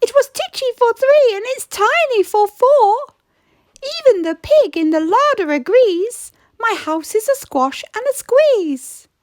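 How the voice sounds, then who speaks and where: A woman's voice: very high-pitched, very animated, a little slow, a little mellow, no room sound, pitched above the performer's own voice, heavily acted. A woman voicing a flustered, grumbling little old lady from a rhyming picture book, plaintive and exclamatory. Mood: exasperated.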